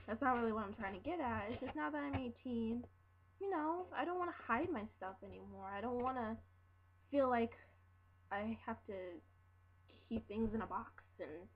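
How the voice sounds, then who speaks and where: Teenage girl, casual vlog rant, fairly high voice, halting and rambling, muffled laptop mic. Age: teens. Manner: rambling, halting, with many fillers and restarts. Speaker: vlogger. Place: room at home. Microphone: built-in laptop mic. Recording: dull lo-fi laptop audio.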